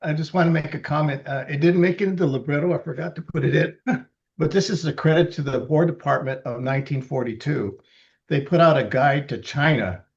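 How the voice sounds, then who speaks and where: Gravelly older man reading poetry. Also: fairly high pitch, highs cut off. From Los Angeles, USA. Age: 70s. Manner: reads verse slowly and deliberately, halting and chatty between poems. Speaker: poet reading his libretto. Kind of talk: poetry reading with casual asides.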